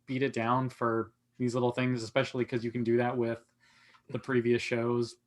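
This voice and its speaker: A man's voice: medium pitch, monotone, normal speed. A man chatting casually on a podcast panel in an easygoing, offhand way. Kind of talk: casual chat.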